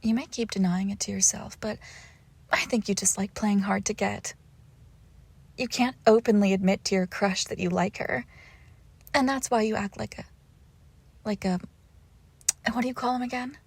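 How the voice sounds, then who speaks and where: Breathy, teasing vampire girlfriend acted by a woman. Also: medium pitch, fairly even intonation, dry recording. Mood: playful, haughty. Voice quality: breathy, often dropping into whispers. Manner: teasing, haughty, slow and deliberate. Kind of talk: intimate romantic audio roleplay, often whispered.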